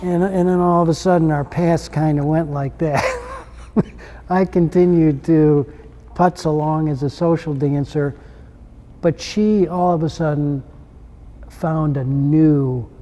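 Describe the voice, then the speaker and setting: Relaxed older man telling anecdotes. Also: a little high, slow pace. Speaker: interviewee, husband. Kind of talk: casual interview talk.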